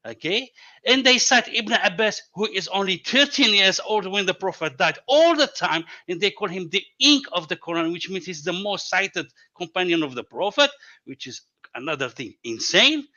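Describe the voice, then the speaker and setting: High bright male voice, dull call audio. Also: sing-song. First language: Arabic. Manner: halting, repeats words before each point. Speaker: debate guest. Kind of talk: heated debate on a video call. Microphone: video call.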